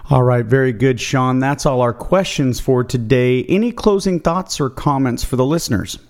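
A man's voice: medium pitch, normal speed, darkish tone. A man moderating an investor conference call, reading out questions from shareholders.

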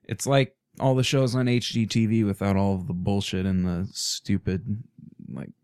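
A man's voice: lowish voice, fairly even intonation, moderate pace, darkish tone, clean tone, dry recording. A man speaking, a podcast guest in casual, crude, joking chat in a small studio.

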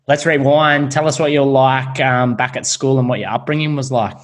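Informal male interviewer with a mid-pitched voice. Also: fairly even intonation, normal speed. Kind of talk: casual interview questions.

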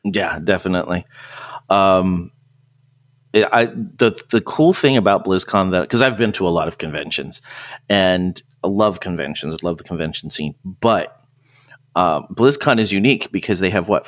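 A man's voice: low-pitched, normal speed, no echo. A man chatting casually about games on a podcast, conversational and often breaking off to rephrase himself. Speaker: podcast speaker. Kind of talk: casual chat about gaming.

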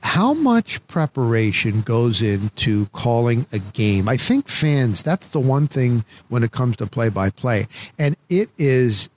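A man's voice: mid-range voice, sing-song, slow pace. A man hosting a casual interview, chatting informally and often repeating his words. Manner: frequent overlapping agreement, repeating words. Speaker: interviewer, host. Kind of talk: casual chat.

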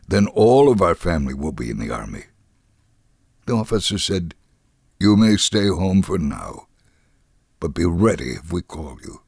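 Low-voiced middle-aged veteran father. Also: vocal fry, darkish tone, dry recording, clean studio sound, exaggerated voice. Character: middle-aged Israeli army veteran and father recounting his life. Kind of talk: dramatic first-person reading.

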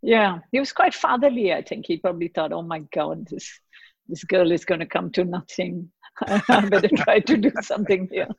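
Husky, creaky woman guest from India. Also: fairly low pitch, normal speed, no echo, muffled recording. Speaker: podcast guest. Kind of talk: casual chat about personal story.

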